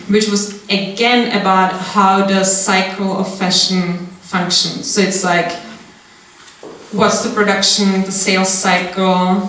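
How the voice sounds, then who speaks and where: A woman's voice: mid-range voice, fairly bright tone. A young woman from Austria answers audience questions after her talk, candid and thinking aloud as she goes. Her handheld mic is caught from across a large seminar room, so the sound is distant and strongly echoey, with faint room noise underneath. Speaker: guest speaker. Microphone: handheld mic, far camera.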